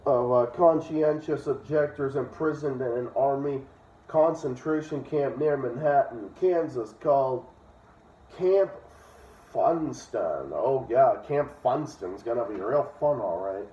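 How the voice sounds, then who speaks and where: A man's voice: medium pitch, measured pace, mellow. A man casually reading a book aloud and breaking into blunt, profane, opinionated commentary. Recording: dull, echoey room, distant from mic.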